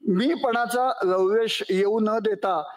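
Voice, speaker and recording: High-voiced man giving an earnest speech. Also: slow pace. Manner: earnest, ceremonious, full of honorifics. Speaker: speaker at a commemorative event. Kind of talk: formal speech.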